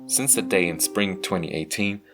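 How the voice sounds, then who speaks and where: A man reading a scripted first-person story as a motion comic narrator, measured and earnest. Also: sits low, fairly bright tone, faint music behind.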